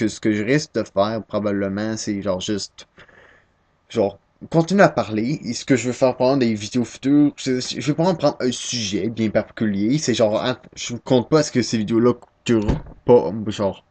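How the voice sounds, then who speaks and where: Casual young Québécois guy on a webcam, slightly low voice, hesitant, mocks himself. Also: a little mellow. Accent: Québécois French. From Quebec, Canada. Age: 19, young adult. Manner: self-deprecating, hesitant, many restarts. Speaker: first-time vlogger. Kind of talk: casual rambling webcam talk.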